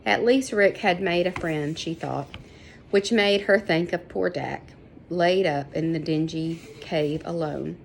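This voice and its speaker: A woman's voice: sits low, a little slow, creaky, slight background noise. A woman reading a children's story aloud, stumbling over names and correcting herself. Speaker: reader of a children's book. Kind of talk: read-aloud story narration.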